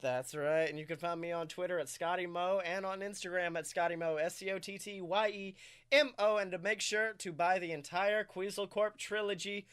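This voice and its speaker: A man co-hosting a podcast, chatting casually in an excitable, jokey storytelling manner. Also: high-pitched, normal speed, clear, resonant tone. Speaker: podcast co-host. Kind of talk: animated casual podcast chat.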